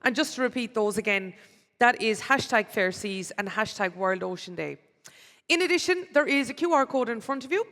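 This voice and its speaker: A woman with a slightly high voice, hosting a conference in a chatty, friendly way. She speaks into a stage microphone, and the sound rings with the long reverb of a large hall. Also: expressive, moderate pace, a bit bright. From Cork, Ireland. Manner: chatty, friendly, with personal asides. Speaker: conference MC and radio presenter. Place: large city hall with an audience.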